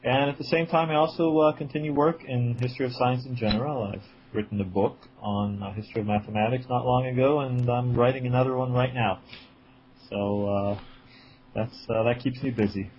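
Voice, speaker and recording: A man in his forties from Israel with a slight cold, speaking at a middle pitch. He answers in a halting way, full of ums and restarts. The recording sounds dull and lo-fi, with a faint hiss behind him. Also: fairly even intonation. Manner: hesitant, many fillers and restarts. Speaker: guest, writer and editor. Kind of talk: interview answers.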